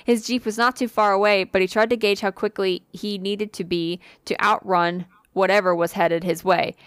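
A woman's voice: medium pitch, fairly even intonation, normal speed, clear, resonant tone, dry recording, clean studio sound. A woman casually reading a spooky listener story aloud on a podcast, with fillers and asides. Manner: reads with occasional fillers and asides.

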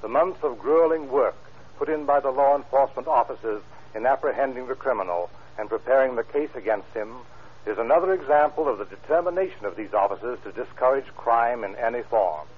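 Husky sheriff reading on old tinny radio. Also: male voice, mid-range voice, lively intonation. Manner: formal, measured. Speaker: county sheriff. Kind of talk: scripted message read aloud. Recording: muffled, tinny 1930s radio recording.

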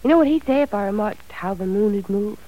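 An actress playing a dreamy, affectionate young wife who talks in a wistful, musing way, in 1940s radio style. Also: female voice, mid-range voice, animated, quick pace, lo-fi sound, close to an everyday voice.

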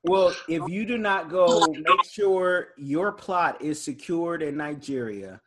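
Husky, higher-voiced man. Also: takes it slowly, a bit bright. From United States. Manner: storytelling, laughs between remarks. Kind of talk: casual conversation.

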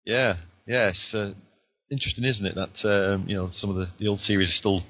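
A man in his sixties with a Lancashire accent answers interview questions, his very deep voice modest and unhurried. He hesitates often with small fillers, and the recording sounds dull and lo-fi. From Lancashire, England. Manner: modest, chatty, hesitant with "uh" and "I mean". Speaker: guest author.

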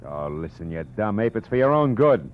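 Lead: low, dry, sarcastic hard-boiled detective, old muffled radio sound. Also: male voice, big pitch swings, moderate pace. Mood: tough. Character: hard-boiled male private detective, wisecracking. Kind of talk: 1950s radio drama narration and acting.

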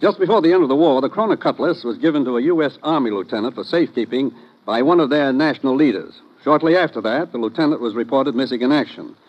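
A man playing a brisk, authoritative government commissioner in an old radio drama. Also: mid-range voice, lightly acted. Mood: stern. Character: stern government commissioner briefing his agent. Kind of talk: 1950s radio drama acting. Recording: dull old radio recording.

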